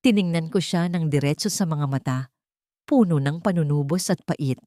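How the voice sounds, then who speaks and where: Woman, slightly low voice, dramatic first-person story narration, very dry close sound. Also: normal speed, warm tone, no room sound.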